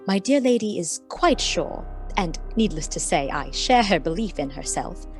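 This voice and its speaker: Devoted lady sleuth's companion, lowish voice. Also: big pitch swings, normal speed, soft background music. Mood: proud, composed. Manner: formal, precise, confiding. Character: devoted, discreet lady detective's companion narrating a mystery. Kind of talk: scripted radio play narration in character.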